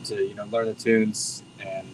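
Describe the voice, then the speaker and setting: An American man, the band's drummer, speaking humbly and softly in a casual interview. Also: fairly low pitch, little pitch movement, normal speed, background noise. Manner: humble and grateful, soft-spoken. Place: bowling alley venue.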